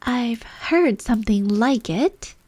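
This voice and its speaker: A woman's voice: a little high, lively intonation, takes it slowly, a little mellow, no echo, voice pushed up. A woman voicing a polite, earnest, curious young girl in a storybook.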